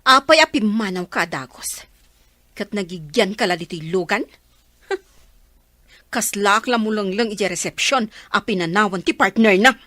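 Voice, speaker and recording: A woman playing a proud, wealthy wife who scolds and quarrels in a sharp tone. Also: mid-range voice, normal speed, long pauses, no room sound, natural voice. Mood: furious. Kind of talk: radio drama acting.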